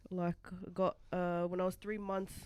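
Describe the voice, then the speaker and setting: A woman's voice: lowish voice, unhurried, clear, resonant tone, dry recording. Young woman, podcast guest, casual, hesitant, lots of fillers. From born in New Zealand, raised in Australia. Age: 20s. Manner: relaxed, hesitant storytelling with many fillers. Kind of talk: casual chat.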